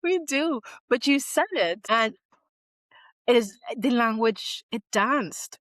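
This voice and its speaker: A woman's voice: on the high side, expressive, slow, fairly bright tone, no room sound, low-quality recording. A woman from Trinidad and Tobago hosting an interview, affirming her guest and asking questions in a lyrical way. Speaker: interviewer host. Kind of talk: warm interview conversation.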